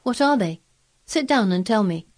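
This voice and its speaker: A woman's voice: medium pitch, animated, moderate pace, a little mellow, husky, voice pushed up. A woman voicing a spoiled, sickly boy of a manor in storybook dialogue, grand and demanding. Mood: curious, commanding. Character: imperious, spoiled, sickly ten-year-old boy of a manor.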